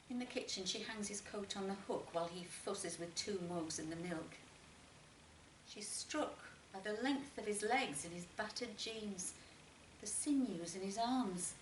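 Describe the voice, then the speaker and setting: A woman reading literary fiction aloud in an expressive, measured narration with emphatic phrasing. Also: a little high. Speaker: short story reader. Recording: roomy, distant mic, faint noise.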